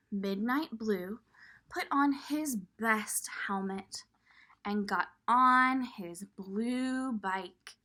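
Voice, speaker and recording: A woman reading a picture book aloud to young children, expressive and pausing to point things out. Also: medium pitch. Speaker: children's storybook reader.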